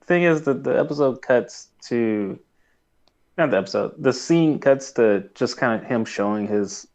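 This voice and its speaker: A man's voice: medium pitch, lively intonation, measured pace, darkish tone. A man co-hosting a podcast, recapping in casual chat with a hesitant, easily amused delivery. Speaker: podcast co-host.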